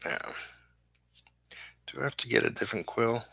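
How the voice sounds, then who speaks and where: A man chatting casually on a livestream as an artist, halting and apologetic, often muttering to himself. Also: mid-range voice, animated, creaky, sharp tone, breathy edge, no room sound. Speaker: comic book inker livestreaming his work.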